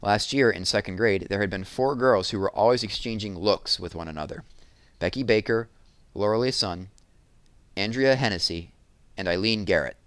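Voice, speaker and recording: A man's voice: on the low side, normal speed, fairly bright tone, smooth, no room sound. A man reading a children's novel aloud as a storytelling narrator.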